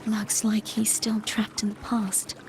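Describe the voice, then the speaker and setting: An actress playing an earnest, wistful young female knight in a video game, speaking softly and reflectively over music. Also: medium pitch, completely flat delivery, slow pace, noisy background. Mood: wistful, sorrowful. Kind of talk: video game character dialogue. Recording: music behind the voice.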